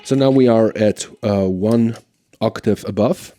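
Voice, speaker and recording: A man's voice: on the low side, a little slow, music in the background. A man presenting a gear review in a casual, conversational way, thinking aloud as he compares things. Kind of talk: casual product demo commentary.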